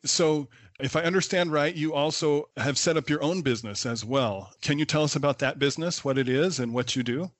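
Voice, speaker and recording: A man's voice: fairly high pitch, a little fast, no echo. A man hosting a podcast interview, speaking in a friendly, affirming way and summing up his guest's points. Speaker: podcast host.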